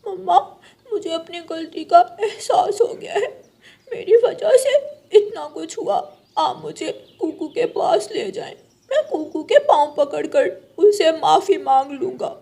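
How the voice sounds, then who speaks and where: A woman's voice: extremely high pitch, animated, measured pace, vocal fry, dark tone, full character voice. A woman voicing a naughty young boy crow in a children's moral cartoon, pleading and whiny. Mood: tearful pleading.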